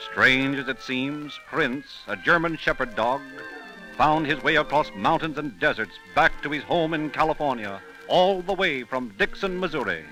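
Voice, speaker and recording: A man's voice: mid-range voice, animated, moderate pace, sharp tone, rough recording. A man narrating a 1930s radio program in a solemn, theatrical storytelling style. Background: music.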